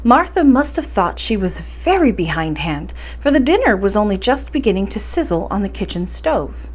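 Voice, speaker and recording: A woman hosting a library story time, speaking and reading aloud in an expressive, encouraging way. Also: medium pitch, normal speed. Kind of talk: bedtime story reading and introduction.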